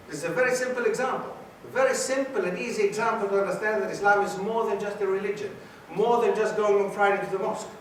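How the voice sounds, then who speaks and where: Male guest lecturer, fairly high voice, quizzes the crowd, recorded far off in a very reverberant hall. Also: a little hiss or hum. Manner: questions the audience, repeats key points for emphasis. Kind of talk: university society lecture.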